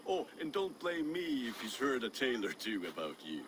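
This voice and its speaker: An actor playing a boisterous, playful, lovestruck Viking blacksmith in a video game, with a very animated delivery. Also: male voice, medium pitch, normal speed, close to an everyday voice. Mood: cheerful, eager. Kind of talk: dramatic video game acting. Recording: noisy outdoor ambience behind voice.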